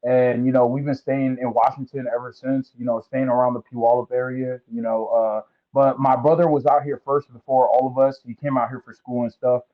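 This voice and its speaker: A man's voice: mid-range voice, little pitch movement, brisk, hardly any pauses. A young man from American Samoa, a football recruit, talking in a laid-back way and using many fillers in an interview. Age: young adult. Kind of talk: casual interview. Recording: tinny phone-like line.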